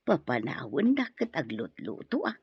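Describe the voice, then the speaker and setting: Meek, grumbling housemaid with a husky voice. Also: female voice, very deep voice, wildly swooping pitch, moderate pace, lo-fi sound, exaggerated voice. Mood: sheepish, grumbling. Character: meek but back-talking household maid. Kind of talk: radio drama acting.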